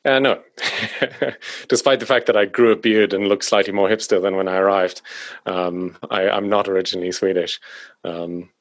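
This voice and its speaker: A man from South Africa, a podcast guest, chatting in a relaxed way with many fillers and restarts. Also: deep voice, moderate pace, no room sound. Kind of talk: casual conversation. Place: home in Stockholm.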